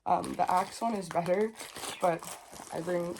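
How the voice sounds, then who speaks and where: A woman, chatting casually and unfiltered to camera in a packing vlog, a little sniffly and raspy from a cold. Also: on the low side, measured pace, background noise. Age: 20s. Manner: chatty, unfiltered, rambling asides. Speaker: vlogger.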